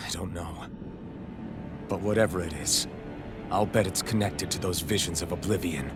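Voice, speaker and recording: An actor playing a determined young male swordsman hero who speaks earnestly and shouts battle cries. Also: low voice, moderate pace, lightly acted. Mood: serious. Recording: game audio with music behind.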